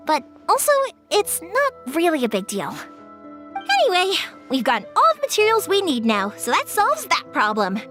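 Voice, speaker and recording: A woman's voice: very high voice, wildly swooping pitch, moderate pace, fairly bright tone, soft background music, full character voice. A young woman playing a cheerful, talkative fireworks-shop owner in a video game. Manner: bubbly, talkative.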